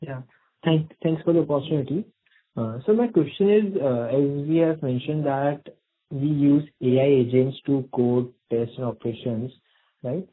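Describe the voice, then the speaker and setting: A man's voice: medium pitch, normal speed. A man, an analyst caller, asks questions politely and hesitantly on a conference call, often repeating words. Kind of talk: spontaneous question on earnings call. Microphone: telephone. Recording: narrow phone line.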